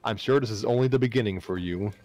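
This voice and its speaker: A man's voice: lowish voice, quick pace, darkish tone, close to an everyday voice. A man playing a kindly, formal male university teaching assistant, earnest and encouraging, in a story reading. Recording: music playing behind the voice.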